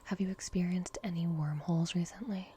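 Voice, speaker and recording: A woman doing a gentle ASMR roleplay as a reassuring technician, speaking in an unhurried, breathy whisper. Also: lowish voice, fairly even intonation, a little hiss or hum. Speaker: ASMR roleplay performer playing a repair technician.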